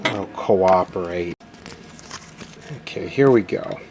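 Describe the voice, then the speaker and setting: A man's voice: sits low, slow pace, background noise. A man giving a casual, matter-of-fact running commentary as he does hands-on repair work, thinking aloud. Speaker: electronics repair video host.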